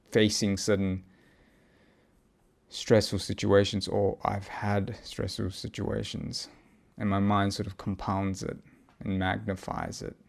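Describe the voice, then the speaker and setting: A man recording a reflective personal audio log, speaking haltingly as he searches for words and often pauses mid-thought. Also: on the low side, gravelly. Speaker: podcast host.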